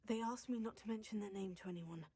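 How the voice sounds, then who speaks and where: A woman's voice: mid-range voice, quick pace, mellow, husky, natural voice. An actress playing a determined young female student witch, earnest in manner.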